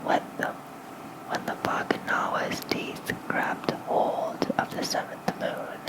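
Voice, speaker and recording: An ASMR host reading lore as a bedtime story in a soft, breathy whisper, gentle and soothing, close to a fluffy microphone. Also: rough recording. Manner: slow, gentle, soothing delivery. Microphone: close fluffy-covered microphone.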